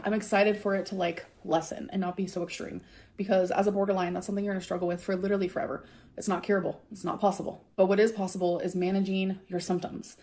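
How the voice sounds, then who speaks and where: A woman's voice: medium pitch, little pitch movement, fast, a little mellow. A woman talking to her camera in a chatty, gushing vlog style, full of fillers. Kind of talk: casual vlog talk to camera.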